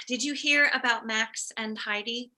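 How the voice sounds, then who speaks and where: A woman's voice: on the high side, steady tone, takes it slowly, sharp tone, full character voice, rough recording. A woman playing an anxious, overprotective middle-aged mother with a combative streak, in a comedy script read. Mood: anxious, prying.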